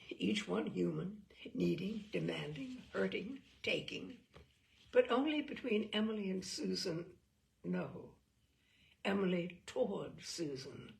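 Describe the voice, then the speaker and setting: Female literary narrator: creaky texture, highly varied intonation, measured dramatic storytelling. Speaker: reader of short fiction. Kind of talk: expressive literary narration read aloud.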